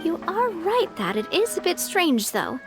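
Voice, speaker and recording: A spirited young woman leader from a video game, speaking in a high, animated voice. She sounds earnest, breezy and friendly, with music playing behind her. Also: unhurried, exaggerated voice. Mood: explanatory.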